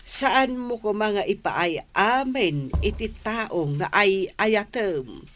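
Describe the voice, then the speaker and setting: Woman acting middle-aged village mother, very unhurried, patient, advising, medium pitch, Ilocano accent, radio drama. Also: expressive, a bit bright, slight background noise. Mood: gently advising.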